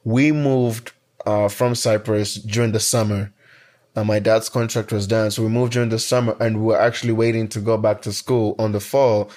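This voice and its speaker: A man in his twenties from Kenya, talking through his own story in relaxed conversation. His voice sits fairly low, and he often restarts his sentences and fills pauses with "uh" and "um.". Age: 20s. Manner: storytelling, frequent restarts and fillers. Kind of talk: casual conversation.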